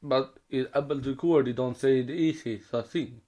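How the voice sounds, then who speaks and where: A man with a Swedish accent, a native Swedish speaker, co-hosting a casual fan podcast in halting, loosely worded English. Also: mid-range voice, darkish tone. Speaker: podcast co-host.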